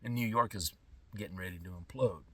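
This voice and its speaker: A middle-aged man speaking an unscripted podcast monologue in emphatic, halting phrases with pointed pauses. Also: lowish voice, sharp tone, husky, distant mic. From born in Trinidad and Tobago, lived in California. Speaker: podcast host.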